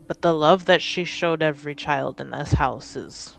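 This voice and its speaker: A woman playing a grieving grandchild who pleads earnestly and haltingly in a tabletop roleplaying game. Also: low voice, gravelly, poor audio. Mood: earnest pleading. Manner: slow, halting, earnest delivery. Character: Ade, sincere, grieving grandchild pleading with an estranged relative.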